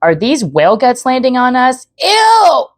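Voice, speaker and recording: A woman voicing an excitable, squeamish young girl in a storybook read-aloud, exclaiming as she talks. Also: high voice, unhurried, pitched above the performer's own voice, full character voice. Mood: disgusted. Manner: exclaiming, grossed out.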